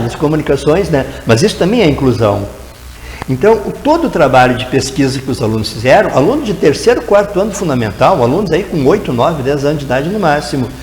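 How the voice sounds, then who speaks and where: A man's voice: a little high, darkish tone, roomy sound, big-room sound, a little hiss or hum, poor audio. A man, a guest educator answering in a TV interview, explaining at length and tagging his sentences with "né?". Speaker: guest educator in teacher training.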